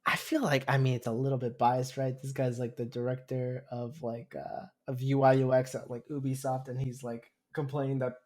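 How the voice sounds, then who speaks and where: A man's voice: medium pitch, level tone, moderate pace, hardly any pauses, darkish tone. Casual, hesitant man chatting about hobbies. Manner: hesitant, rambling, repeats phrases. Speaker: podcast co-host. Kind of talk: casual gaming podcast chat.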